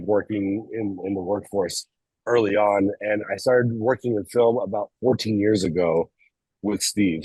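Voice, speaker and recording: A man's voice: fairly low pitch, darkish tone, breathy edge, no echo, rough recording. A man from California, a documentary filmmaker guesting on an interview show, talking in an easygoing way and laughing often. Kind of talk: casual interview chat.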